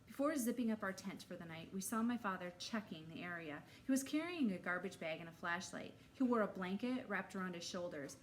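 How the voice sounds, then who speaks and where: A woman's voice: mid-range voice, lively intonation, brisk, few pauses, poor audio. Woman reading a children's story, faint noise. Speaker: read-aloud storyteller for first graders. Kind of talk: animated children's story narration.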